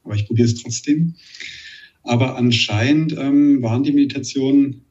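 A man with a Saxon German accent and a slight lisp, a meditation teacher answering interview questions calmly and thoughtfully. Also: medium pitch, recorded from a distance, lo-fi sound. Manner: calm, measured, searching for words.

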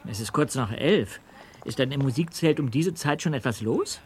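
A man's voice: mid-range voice, expressive, normal speed, a little hiss or hum, close to an everyday voice. A man playing a thoughtful, questioning young amateur detective in an audio drama. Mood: pondering. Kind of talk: German audio drama acting.

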